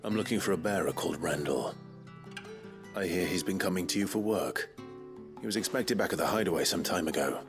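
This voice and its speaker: A man acts a stoic young warrior in a fantasy video game. His voice is very low, terse and restrained, with music playing behind it. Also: brisk, natural voice. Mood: businesslike, steady. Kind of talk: dramatic video game acting.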